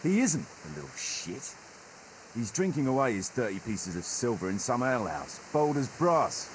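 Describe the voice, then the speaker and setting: An actor playing a gruff, wary patrol chief in a medieval video game, speaking bluntly and with command. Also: male voice, mid-range voice, sing-song, moderate pace, slight background noise, close to an everyday voice, rough recording. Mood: scornful, wary. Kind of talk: naturalistic video game acting.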